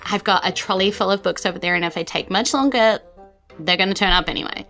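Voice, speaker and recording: A woman's voice: mid-range voice, fast, pushed and bright, soft background music. A woman voicing a nervous, bookish library assistant in a tabletop game, confiding and rambling hesitantly. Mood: hushed warning.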